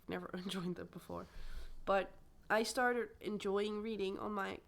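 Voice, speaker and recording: Slightly croaky young woman, chatty. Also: mid-range voice, steady tone, normal speed. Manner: rambling, self-correcting, chatty. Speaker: booktuber. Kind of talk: casual chat to camera.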